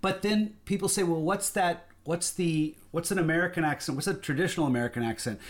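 A man with a Californian accent, chatting casually and giving his opinions in a relaxed way. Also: on the high side, quick pace. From Southern California. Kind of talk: casual chat.

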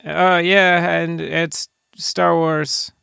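A man with a slightly high, smooth voice hosts a casual podcast. He rambles through dry jokes in banter with his friends, recorded close and dry. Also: flat delivery, extremely slow, bright, forceful tone, dry recording. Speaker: podcast host.

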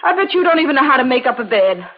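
A woman's voice: high-pitched, sing-song, rapid-fire. An actress playing a chatty, fussy older landlady in 1940s radio drama style, with a folksy, gushing manner. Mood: fussing. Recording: muffled, noisy old radio broadcast.

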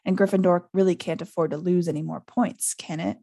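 A woman voicing a smooth, menacing male teacher with a slow, sinister, sneering manner in a storybook reading. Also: high-pitched, fairly even intonation, dark tone, no room sound, studio quality.

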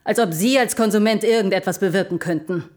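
A woman's voice: medium pitch, fast talker, darkish tone, lightly acted. Woman playing a vain, seductive talking carafe diva. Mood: indignant, condescending. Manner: breathy purring, dramatic, condescending endearments. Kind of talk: scripted audio drama acting.

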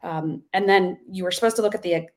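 A woman's voice: on the low side, fast. A woman co-hosting a podcast, telling a story in casual chat with many ums and restarts. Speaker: podcast co-host.

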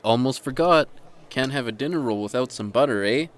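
An actor playing a jovial, food-loving uncle, playful and folksy, in an audio drama. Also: male voice, medium pitch, brisk, a little hiss or hum, lightly acted. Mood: jovial, bustling.

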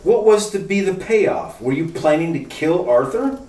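An actor playing a breezy, sly, wry married detective in a retro radio mystery. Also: male voice, sits high, big pitch swings, normal speed, dark tone, a little hiss or hum. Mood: wry, urgent. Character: wisecracking married male amateur sleuth, breezy and sly. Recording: roomy, distant radio-play recording with music.

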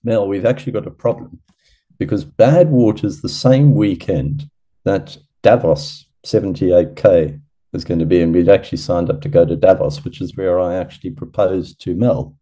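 A man's voice: low-pitched, normal speed, dark tone. A middle-aged man, originally from Zimbabwe, telling long chatty stories as a podcast guest and laughing at himself. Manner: chatty, self-deprecating, laughs at own stories. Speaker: podcast guest, ultra runner. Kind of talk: casual storytelling interview.

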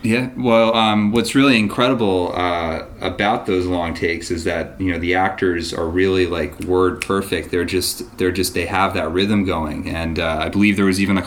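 A man with a fairly low voice hosts an interview, asking long and earnest questions. He winds his way through them with small fillers and fresh starts as he goes. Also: fairly even intonation, moderate pace, hardly any pauses, a bit bright, a little hiss or hum. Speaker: interviewer host. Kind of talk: interview conversation.

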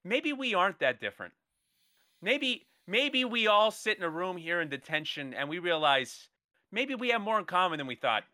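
A young man hosting a podcast with a bright, forward tone and a slightly raised voice. He explains things in a playful, rambling way and keeps the banter going with his co-hosts. The sound is close and dry. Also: high voice, sing-song, moderate pace, dry recording. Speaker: podcast host running a movie draft game. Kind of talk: casual comedic chat.

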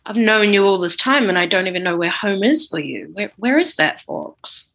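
Comedy sketch: oblivious old wizard headmaster woman performing, bright-toned, casual, chatty, stumbles over words. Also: medium pitch, expressive, normal speed, gravelly. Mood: apologetic. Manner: stammering, informal, slangy. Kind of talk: improvised comedy audio sketch.

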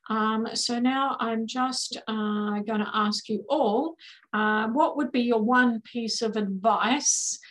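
A woman moderating a careers panel webinar, affirming answers and asking measured questions with many fillers on a video call. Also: fairly high pitch, fairly bright tone, poor audio.

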